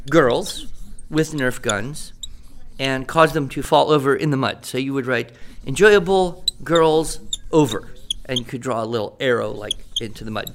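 A man's voice: mid-range voice, a bit bright, slight background noise, natural voice. A man playing a patient writing teacher in a radio drama, explaining step by step and checking that students follow. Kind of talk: improvised-sounding classroom teaching in a radio drama.

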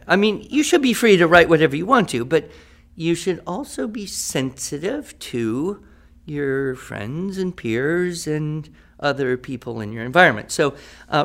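A man's voice: a little high, fairly bright tone. A man playing a patient writing teacher in a radio drama, explaining step by step and checking that students follow. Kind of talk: improvised-sounding classroom teaching in a radio drama.

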